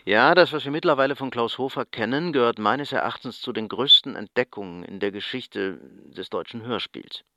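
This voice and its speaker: An actor playing an earnest academic expert giving wordy, emphatic interview commentary in a radio feature. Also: male voice, mid-range voice, moderate pace, hardly any pauses, pushed and bright, no echo, studio quality. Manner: emphatic, wordy, repeats words for effect.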